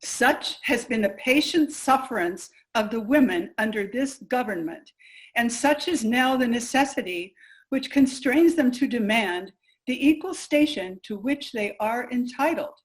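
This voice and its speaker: Woman playing a determined old-time suffragist, highish voice, formal declaration style. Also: lively intonation, measured pace, poor audio. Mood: resolute. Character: resolute 19th-century suffragist declaring women's grievances. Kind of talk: reader's theater recitation.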